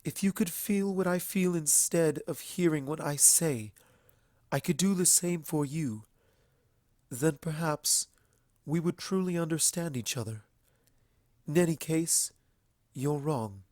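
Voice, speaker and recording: A man voicing a calm, sorrowful, regal pony princess in a storybook reading, soft and measured. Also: on the high side, dry recording, clean studio sound, voice pushed up. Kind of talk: dramatic fan fiction character reading.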